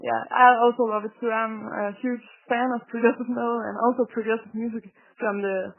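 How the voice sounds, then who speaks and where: A woman conducting a casual phone interview as an admiring fan, speaking in a hesitant, halting way over a telephone. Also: fairly high pitch, level tone, vocal fry, a little hiss or hum. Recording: tinny band-limited phone line.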